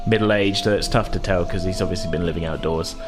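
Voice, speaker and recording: A man's voice: sits low, steady tone, fast talker, over music. A man running a tabletop game, describing scenes in a casual, informal and hesitant way. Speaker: game master.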